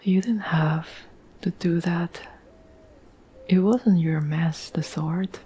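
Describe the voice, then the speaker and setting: Woman acting as a soft, slow, whispering girlfriend. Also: on the low side, breathy edge, exaggerated voice. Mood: warm, grateful. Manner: gentle, unhurried, halting with thoughtful pauses. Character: caring, tender girlfriend reassuring her troubled partner. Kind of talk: intimate ASMR roleplay, whispered and soft-spoken.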